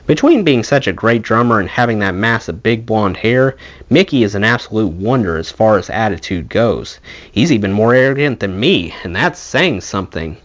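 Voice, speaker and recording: Animated man reading a memoir aloud. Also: lowish voice, normal speed. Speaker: audiobook reader, channel host.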